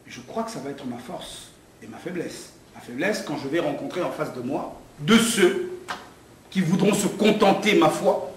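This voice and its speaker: Middle-aged man from Congo at a press conference, fervent speaker, fairly high voice, recorded far off in a very echoey hall. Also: slight background noise. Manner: rousing, rising to fervent preaching pitch when speaking to the crowd. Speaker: political candidate at a press conference.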